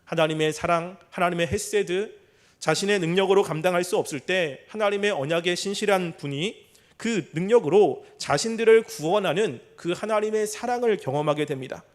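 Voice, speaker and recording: A male preacher with a notably high-pitched voice for a man. He delivers an expository sermon in a measured way, explaining each point in formal, polite phrasing.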